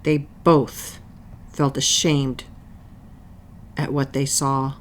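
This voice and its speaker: A woman reading a children's picture book aloud as narrator, with deliberate, dramatic phrasing and long pauses. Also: a bass voice, flat delivery, a little hiss or hum. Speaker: storybook reader.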